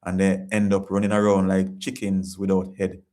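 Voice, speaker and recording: A man's voice: low voice, monotone, moderate pace, darkish tone. A Jamaican man hosting a casual live-stream chat, reading viewer comments and talking in an earnest, repetitive, preachy way.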